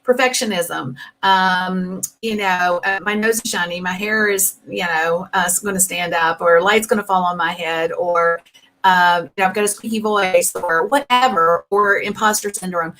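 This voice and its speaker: A woman's voice: fairly low pitch, moderate pace, fairly bright tone. A woman, hosting an interview show, who chats freely with many fillers and asks her guest questions. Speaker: show host. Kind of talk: casual interview chat.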